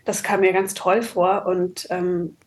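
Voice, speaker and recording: A woman's voice: fairly low pitch, little pitch movement, takes it slowly. A woman speaking as an author being interviewed, chatty and reflective, articulate, with the odd English word mixed in. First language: German. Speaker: author and copywriter, interview guest. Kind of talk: casual, reflective interview conversation.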